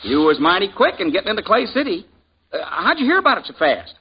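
An actor playing a jovial, talkative frontier blacksmith with a folksy drawl in a 1950s radio drama. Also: male voice, high voice, sing-song, quick pace, husky, full character voice. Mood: curious. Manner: folksy drawl, chuckling, nosy. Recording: dull old radio broadcast.

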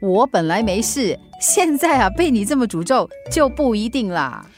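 A woman's voice: fairly high pitch, sing-song, moderate pace, soft background music. An actress with a Singaporean accent playing a middle-aged, teasing and mildly exasperated mother in a radio drama, with chiding, playful banter. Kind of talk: Mandarin radio drama acting.

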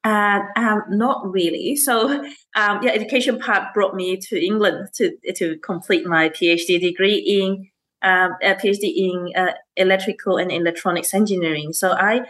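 A woman's voice: mid-range voice, steady tone, moderate pace, a bit bright. A woman, a teacher answering interview questions in a hesitant way, with many fillers and restarts and frequent laughs. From Malaysia. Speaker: STEM teacher and councillor.

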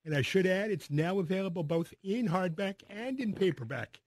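A man's voice: high-pitched, lively intonation, normal speed, darkish tone. A man hosting a Sunday morning radio talk show, introducing his guest with upbeat, announcer-like station breaks. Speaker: radio host. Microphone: studio microphone.

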